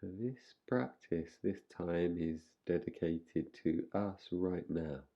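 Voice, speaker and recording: A man guiding a relaxation meditation in an unhurried manner, leaving long pauses between phrases. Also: deep voice, muffled recording. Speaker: meditation guide.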